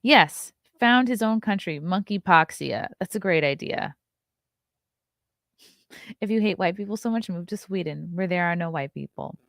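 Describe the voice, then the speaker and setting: A woman in her thirties hosts a livestream and talks straight into a close mic. Her voice sits low for a woman and sounds dry and close, with no room around it. She is blunt and sarcastic and swears freely as she riffs on chat comments. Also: expressive, normal speed, vocal fry, a bit bright, no echo. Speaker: livestream host.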